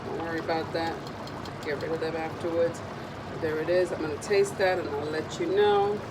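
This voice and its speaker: A woman with a raspy voice from a lingering cold, chatting casually as she demonstrates a recipe in her home kitchen. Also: deep voice, steady tone, moderate pace, noisy background. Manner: chatty, meandering, with frequent ums. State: recovering from a cold. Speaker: cooking video host.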